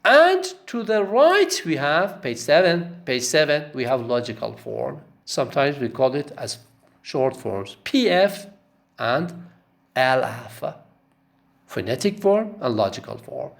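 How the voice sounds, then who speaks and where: A man's voice: mid-range voice, big pitch swings, slow pace, vocal fry. A male lecturer taking students through a course text, repeating key phrases and checking in with "okay?". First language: not Arabic. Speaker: linguistics lecturer.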